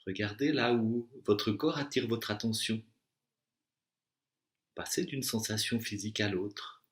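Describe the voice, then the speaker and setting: Low-voiced man guiding meditation, echoey room. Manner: slow, deliberate instructions with long pauses. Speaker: yoga nidra and meditation teacher. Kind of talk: guided relaxation meditation.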